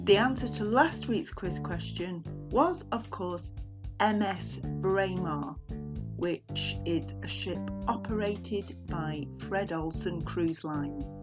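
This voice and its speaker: A woman's voice: on the low side, slow pace, music in the background. A middle-aged woman hosting a podcast, speaking in a chatty presenting style. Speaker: podcast host.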